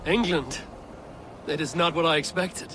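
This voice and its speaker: An actor playing a kindly, wry Viking warrior in a video game, speaking in a measured way. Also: male voice, fairly high pitch, background noise, close to an everyday voice. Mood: puzzled. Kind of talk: video game cutscene acting.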